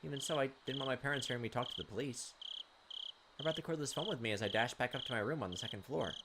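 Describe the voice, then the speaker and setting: A man hosting a game video, reading visual novel text aloud with slow suspense and dropping into casual commentary. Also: medium pitch. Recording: clearly noisy home recording, clicks, insect sounds.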